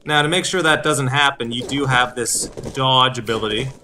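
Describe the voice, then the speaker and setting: A man's voice: mid-range voice, normal speed, pushed and bright, a little hiss or hum. A man giving explanatory video game commentary, with hesitant phrasing and repeated words. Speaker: gameplay host. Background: game music.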